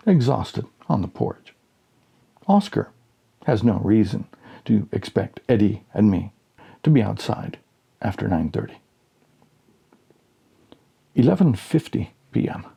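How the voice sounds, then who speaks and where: A man reading a humorous story aloud as scripted narration, deadpan and suspenseful, with dramatic pauses. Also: on the low side, gravelly, husky. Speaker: audiobook reader.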